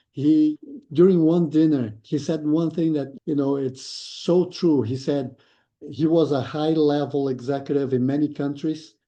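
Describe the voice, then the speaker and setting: Male guest, thoughtful and explanatory, very dark tone, lo-fi audio. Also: a little high. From Brazil. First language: Portuguese. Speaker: guest, lean consultant. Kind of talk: podcast interview.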